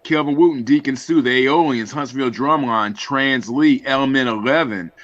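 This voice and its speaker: A man with a mid-pitched voice speaks off the cuff as a guest on a radio panel. He is informal and wordy, and the audio is dry but a little muffled. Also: normal speed, a bit bright, dry recording. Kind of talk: casual unscripted radio talk. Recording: dull, slightly lo-fi audio.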